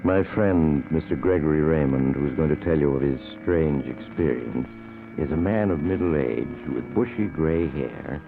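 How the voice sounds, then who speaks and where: An actor playing an ominous, drily macabre storyteller, hosting an old-time radio mystery with scripted narration. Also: male voice, rumbling low, narrow, tinny audio. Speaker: mystery show host. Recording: thin, humming old radio recording. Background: music.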